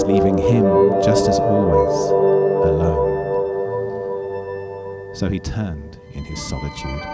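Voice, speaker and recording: A low-voiced man recites a Romantic ballad as a theatrical performance. His delivery is slow, deliberate and intense, with wide expressive movement in pitch. Loud music runs behind the voice. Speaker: actor reciting poetry. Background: loud musical accompaniment.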